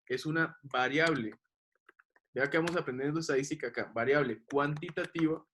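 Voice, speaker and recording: A man's voice: a little high, normal speed, fairly bright tone, a little hiss or hum. A man teaching a class, explaining patiently and checking that the students follow. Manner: patient, checks understanding with questions.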